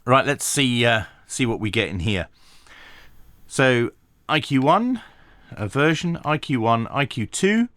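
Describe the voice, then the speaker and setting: A man giving a hands-on tech tutorial, talking through each step methodically with pauses and dry asides. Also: medium pitch, expressive, a bit bright, no echo. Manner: methodical, thinking aloud with frequent pauses. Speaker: radio reviewer.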